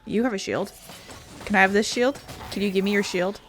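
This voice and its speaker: Woman gamer, medium pitch, offhand let's play chatter, irreverent, muttering to herself, soft game music underneath. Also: steady tone, fairly quick. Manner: irreverent, sweary, muttering to self. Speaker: gaming video host. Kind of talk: casual let's play commentary. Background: faint game music.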